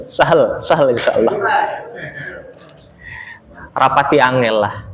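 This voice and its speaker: A young man teaching an Arabic lesson, chatty and relaxed, laughing often and reading phrases slowly for students to repeat. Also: medium pitch, over a noisy crowd. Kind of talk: casual online Arabic lesson, reading aloud for repetition. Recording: long reverberant echo.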